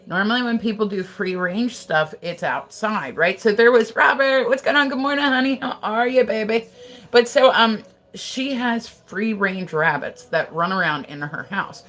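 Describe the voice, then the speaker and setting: A woman's voice: medium pitch, normal speed, fairly bright tone. An American woman livestreaming from her house, chatting casually and gossipy, full of fillers and swearing, answering her viewers as she goes. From United States. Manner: chatty, gossipy, loose and profane, lots of "like" fillers.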